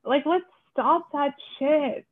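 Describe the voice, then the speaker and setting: A woman's voice: sits high, slow pace. A woman co-hosting a podcast, speaking earnestly and with feeling, filling her talk with "like". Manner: impassioned, many "like" fillers. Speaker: podcast co-host. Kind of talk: earnest casual conversation. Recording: tinny phone-like line.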